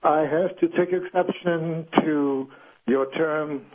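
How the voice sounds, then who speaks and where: Stern caller on phone. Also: male voice, on the high side, steady tone, slow pace. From Poland. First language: Polish. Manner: stern, formal. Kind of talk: call-in radio complaint. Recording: tinny phone line.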